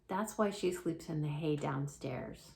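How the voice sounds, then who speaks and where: A woman's voice: low voice, unhurried, vocal fry, darkish tone, a little hiss or hum, voice pushed down. Kindly, motherly winged cat, read by a woman. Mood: earnest, explaining. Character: kindly, motherly older sister cat with wings.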